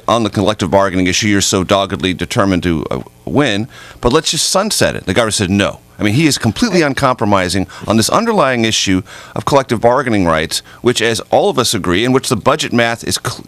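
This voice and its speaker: A man's voice: on the low side, a bit bright, no echo. A man giving emphatic political analysis as a panelist in a discussion.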